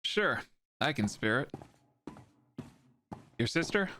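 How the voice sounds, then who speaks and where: A man's voice: medium pitch, sing-song, slow pace, sharp tone, a little hiss or hum, full character voice. Medic male actor: mid-range, casual, wry, blunt and perceptive. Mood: wry, concerned. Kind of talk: audio drama acting.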